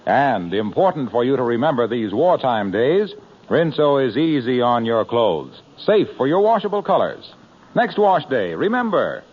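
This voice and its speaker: Lively vintage radio announcer, man. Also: mid-range voice, moderate pace, slightly rough. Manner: upbeat, salesy, showman delivery. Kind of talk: scripted announcing and commercial. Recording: muffled old radio broadcast with hiss.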